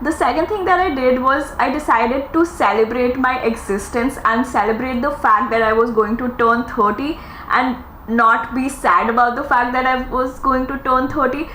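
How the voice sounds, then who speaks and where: A woman's voice: sits high, normal speed. Chatty woman in her thirties, echoey room. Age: 30s. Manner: earnest, chatty, with animated quoted asides. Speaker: podcast host. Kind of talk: casual reflective monologue. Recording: echoey room with faint noise.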